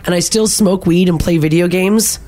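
A woman co-hosting a casual radio show, reading listener texts aloud and chatting with her co-hosts. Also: lowish voice. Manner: animated, giggly, reads texts aloud briskly.

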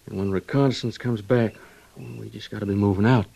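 Young American trooper, low voice, rural drawl, tender, worried, old-time western radio drama. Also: male voice, steady tone, fast talker, gravelly. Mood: troubled, earnest. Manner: folksy rural drawl, gentle and earnest.

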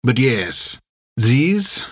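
Menacing old French sea captain. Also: male voice, medium pitch, very animated, fairly bright tone, husky, no echo, studio quality, heavily acted. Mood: smooth menace. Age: older. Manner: slow, savoring words, mock-courteous. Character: wiry gray-haired old French prison-ship captain, coldly menacing.

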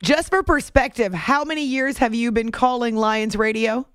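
Clear-voiced woman hosting sports talk. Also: on the high side, normal speed, no echo. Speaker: radio show host. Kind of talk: sports interview.

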